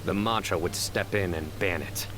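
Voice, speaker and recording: An actor playing a grave, reserved guardian in a video game, formal and deadpan. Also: male voice, sits low, moderate pace, background noise, natural voice. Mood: solemn deadpan. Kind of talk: English game voice acting.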